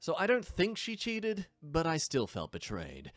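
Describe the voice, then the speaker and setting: Young man, from England, story reader and commentator, lively pitch, dry close sound. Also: measured pace, dry recording. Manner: animated, sarcastic asides. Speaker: YouTube reaction host. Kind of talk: reading and commenting on online stories.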